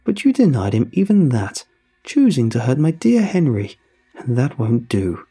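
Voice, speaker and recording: A man voicing a spidery old woman monster with a croaking, wobbling voice, sly and sweetly menacing. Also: medium pitch, wildly swooping pitch, moderate pace, warm tone, exaggerated voice. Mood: reproachful. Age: over 60.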